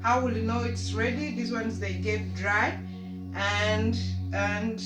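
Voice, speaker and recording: Woman, chatty vlogger, higher voice, roomy sound from off the mic. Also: steady tone, takes it slowly, distant mic. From Uganda. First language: Luganda. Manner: chatty, with frequent restarts, explaining plants. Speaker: gardening vlogger. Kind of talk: casual show-and-tell. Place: home balcony. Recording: roomy, off-mic, loud music behind.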